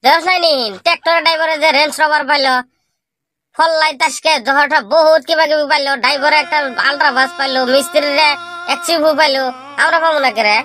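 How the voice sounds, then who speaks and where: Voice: sits high, pushed and bright. A performer voicing a jobless, boastful young male village slacker in a comic cartoon, grumbling in a rural Bangladeshi dialect.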